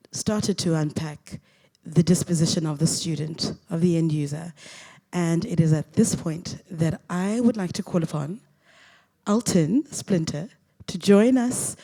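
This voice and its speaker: Woman panel moderator, low mellow voice, formal scripted introductions.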